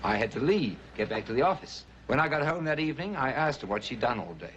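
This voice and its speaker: An actor playing a worried, polished businessman husband in a 1950s film drama, telling his story gravely and with measured phrasing. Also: mid-range voice, expressive, a bit bright, highs cut off, close to an everyday voice. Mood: troubled, urgent. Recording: old film soundtrack, faint background noise.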